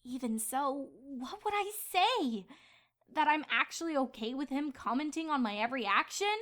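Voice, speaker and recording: A woman's voice: high voice, expressive, moderate pace, a bit bright. A woman voicing an earnest, easily flustered young female pony princess in a fan fiction audio drama. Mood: hesitant, pleading.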